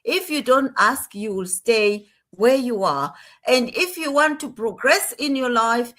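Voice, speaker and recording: A woman's voice: on the high side, measured pace, fairly bright tone. Encouraging woman from Zimbabwe. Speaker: guest speaker. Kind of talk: motivational online talk.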